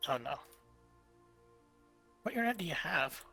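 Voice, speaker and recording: A young man chatting casually while streaming a game late at night, tired and rambling, restarting his sentences as he gets excited about old games. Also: a little high, a little slow, creaky, faint music behind. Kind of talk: casual chat while gaming.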